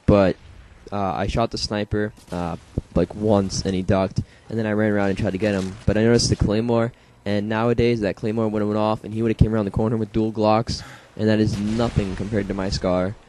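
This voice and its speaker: A young man, slightly hoarse from a congested throat, rambling casually through his own match footage with many fillers and self-deprecating asides. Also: on the low side, flat delivery, brisk, hardly any pauses, warm tone, slight background noise. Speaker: gameplay commentator. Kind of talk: casual gameplay commentary.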